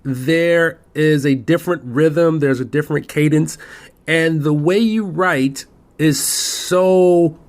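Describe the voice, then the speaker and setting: A man's voice: a little high, takes it slowly. A man hosting a podcast interview, chatty and openly admiring of his guest. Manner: admiring, chatty, laughs easily. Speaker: podcast host.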